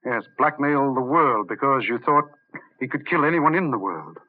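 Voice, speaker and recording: A man's voice: mid-range voice, animated, moderate pace, close to an everyday voice. An actor playing an earnest, insistent male doctor in an old-time radio drama. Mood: earnest, alarmed. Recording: tinny, narrow vintage radio recording.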